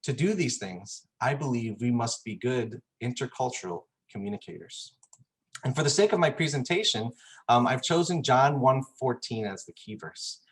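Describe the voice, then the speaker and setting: A man from Chicago giving a prepared presentation at a church forum, earnest and measured with occasional fillers. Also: mid-range voice, animated, slightly rough. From Chicago, United States.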